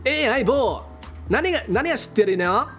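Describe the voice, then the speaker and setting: An excitable teenage anime sidekick boy, as a man puts him on, pitched way up and bursting with playful energy. His lines jump all over in lively shouts over faint background music. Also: a little fast. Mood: excited. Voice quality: high, bright and energetic.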